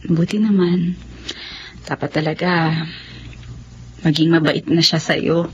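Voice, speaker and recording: A woman's voice: sits low, steady tone, slow pace. A woman with a Filipino accent playing a dutiful, self-sacrificing young schoolteacher in a radio drama. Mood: polite, reserved. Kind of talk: Tagalog radio drama acting. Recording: dull lo-fi radio, faint noise.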